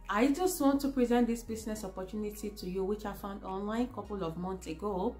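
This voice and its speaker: Earnest woman from nigeria pitching her side business, mid voice, faint music under it. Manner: earnest, emphatic, slows for stress. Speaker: business presenter. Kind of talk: personal testimonial pitch.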